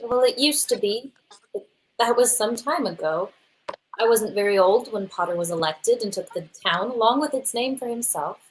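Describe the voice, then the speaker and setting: A woman's voice: on the high side, expressive, normal speed, a little hiss or hum, natural voice, rough recording. A woman playing a guarded, timid librarian in a live radio play, prim in manner. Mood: guarded, weary.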